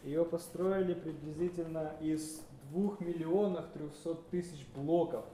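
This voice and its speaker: Hesitant interpreter, mellow voice, echoey hall. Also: male voice, fairly high pitch, steady tone, a little slow, a little hiss or hum. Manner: hesitant, with filler sounds and self-corrections. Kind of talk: live consecutive interpreting of a sermon. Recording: roomy hall with faint ambience.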